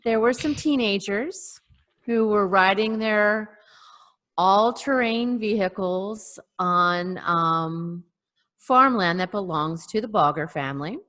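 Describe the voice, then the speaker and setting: A woman co-hosting a casual chat on a video call, telling local news in a hesitant, pausing way. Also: medium pitch, pushed and bright. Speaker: podcast co-host.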